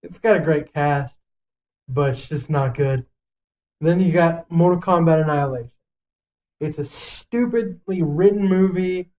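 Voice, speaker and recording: A man's voice: a little high, unhurried, warm tone, low-quality recording. A man co-hosting a casual podcast, talking in a blunt, foul-mouthed and emphatic way as he gives his opinions. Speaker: podcast co-host. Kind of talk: casual podcast chat, ranking list.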